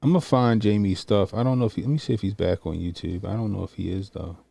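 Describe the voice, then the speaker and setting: A man's voice: fairly low pitch, fast, gravelly, mellow, no echo. A man, chatting loosely and profanely while reacting to a video. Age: 40s. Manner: loose, profane, rambling with slang. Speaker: commentary streamer. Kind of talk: casual reaction stream.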